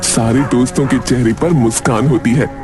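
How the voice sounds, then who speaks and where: A man's voice: medium pitch, fairly even intonation, normal speed, music in the background. A man narrating a cartoon story from a script.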